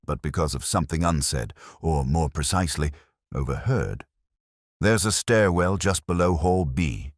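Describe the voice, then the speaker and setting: A man playing an ageing, weary police detective, narrating an audio drama in a measured, literary, wry and reflective way. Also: rumbling low, no room sound, studio quality.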